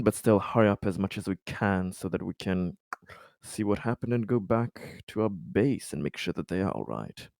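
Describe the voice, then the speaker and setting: A man playing a tabletop game, talking through the situation in deliberate, rather formal wording. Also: fairly low pitch, creaky, a little mellow, no room sound. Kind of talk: casual in-game discussion.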